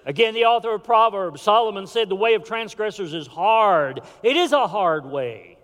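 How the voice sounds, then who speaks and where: Impassioned preacher in a reverberant church. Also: male voice, high voice, animated, normal speed. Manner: impassioned preaching with sudden drops to a hush. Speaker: pastor. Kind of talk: sermon. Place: church sanctuary. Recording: hall reverb.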